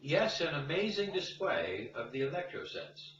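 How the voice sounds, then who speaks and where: A man's voice: fairly high pitch, big pitch swings, moderate pace, over music. A man presenting a lecture-style commentary in an emphatic, declarative manner. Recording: echoey, distant, dull with faint noise.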